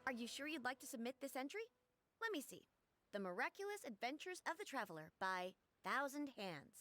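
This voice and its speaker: A woman's voice: sits high, very animated, fairly quick, fairly bright tone. A woman voicing a polite, eager, businesslike publishing house worker in a video game, courteous and upbeat.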